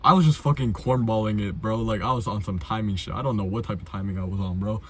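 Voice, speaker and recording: Slangy young man with a lowish voice. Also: fast, fairly bright tone, a little hiss or hum. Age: young adult. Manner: self-deprecating, blunt, slangy and sweary. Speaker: amateur filmmaker, video host. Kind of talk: casual unscripted commentary.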